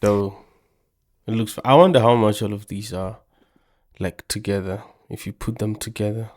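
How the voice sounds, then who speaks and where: Low-voiced chatty man saying bro. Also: fairly even intonation, moderate pace, a little mellow. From South Africa. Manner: chatty, says "bro" often. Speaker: reaction channel host. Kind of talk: casual reaction commentary.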